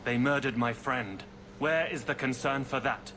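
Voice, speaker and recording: Noisy ambience surrounds a young nobleman, played by a man in a fantasy video game. His voice sits at a middle pitch, earnest and formal, that of a defiant idealist and ruler's son. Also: normal speed, lightly acted. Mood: defiant, impassioned. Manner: earnest, formal, bitter phrasing. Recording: loud ambient noise behind.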